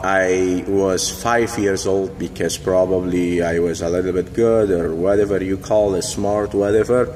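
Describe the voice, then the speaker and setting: A man's voice: low-pitched, a little mellow. A man from Afghanistan, a boxing coach, telling his life story to a phone camera in a large indoor gym, hesitant with many fillers and phrasing. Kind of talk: casual personal storytelling to camera. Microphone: phone held in mirror selfie. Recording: long gym reverb, faint background hum.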